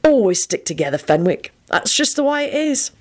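A woman's voice: a little high, sing-song, takes it slowly, voice pushed up, full character voice. A woman voicing a brash, self-important, foul-mouthed young man in a story, with a scornful and lofty manner.

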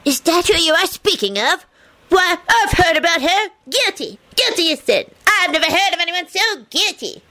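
A woman's voice: very high voice, animated, moderate pace, bright, forceful tone, no room sound, pitched above the performer's own voice, exaggerated voice. A woman voicing a tiny, pompous male train conductor in an audiobook, declaiming suspicious accusations. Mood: eager, accusing.

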